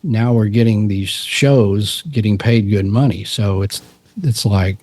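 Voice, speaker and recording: A middle-aged man from Texas with a low voice, chatting as a podcast guest in a relaxed, rambling way with frequent restarts. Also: a little slow, dry recording. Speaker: podcast guest, musician and cryptid researcher. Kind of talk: casual interview storytelling.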